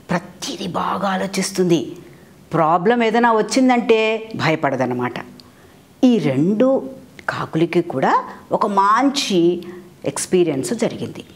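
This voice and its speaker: A woman with an Indian accent tells a story to children like a teacher, asking them questions, repeating phrases and dropping in English words. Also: medium pitch. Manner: mixes in English words and glosses.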